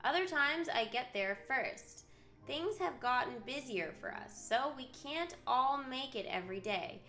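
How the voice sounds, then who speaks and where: A woman game streamer with a very bright, forward voice, recorded in a roomy, echoey space with music behind her. She mixes reading story text aloud with live commentary. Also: high voice, lively intonation, measured pace. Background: video game music.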